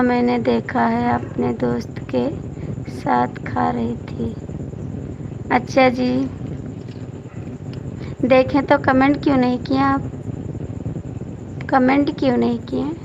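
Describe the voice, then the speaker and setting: A hoarse woman with a deeply gravelly, fry-laden voice chats slowly and flatly with speech. She reads out comments and keeps nudging viewers by name. The sound is dull and lo-fi, with noticeable street noise and passing vehicles behind her. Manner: chatty, repeatedly coaxing viewers by name to comment. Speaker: folk singer hosting a live stream. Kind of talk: casual live-stream chat reading out viewer comments.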